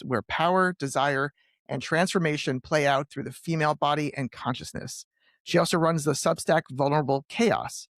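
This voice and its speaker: A man hosting a podcast interview, with a slightly higher speaking voice than most men. He reads his guest introduction smoothly, then asks questions with easy, casual fillers. The sound is dry and close, with no room echo. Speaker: podcast host.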